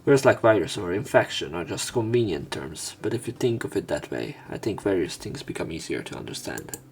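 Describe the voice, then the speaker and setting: A man reading visual novel text aloud as a let's play narrator, in measured short phrases. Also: sits low, sing-song. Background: game music.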